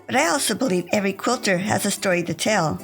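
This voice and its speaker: A woman hosting a quilting podcast, speaking in a gentle, measured way as she interviews a guest. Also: mid-range voice, expressive, vocal fry, soft background music. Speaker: podcast host.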